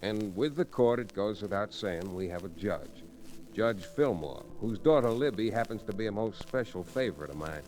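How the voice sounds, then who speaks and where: A man's voice: on the low side, animated, moderate pace, darkish tone, lightly acted, low-quality recording. A man playing an easygoing young frontier lawyer, folksy and wry, in an old radio western. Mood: wry, genial. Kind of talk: 1940s radio western acting, folksy narration. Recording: vintage radio recording with music.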